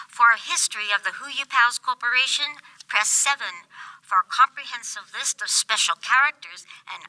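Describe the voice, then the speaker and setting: A very bright, high automated phone-menu voice, played by a woman in a comic radio play. It announces everything in a measured, courteous way, with endless patience. The sound is dry and close. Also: no echo, poor audio. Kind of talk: radio play comedy acting, recorded announcement delivery.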